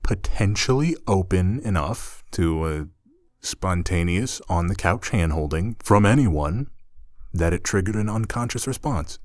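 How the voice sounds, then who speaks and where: A man's voice: low voice, expressive, a little slow, dry recording. A man playing an easygoing, teasing, slightly flustered roommate in a conversational ASMR roleplay. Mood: defensive teasing. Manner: casual, hesitant, self-correcting, dry teasing.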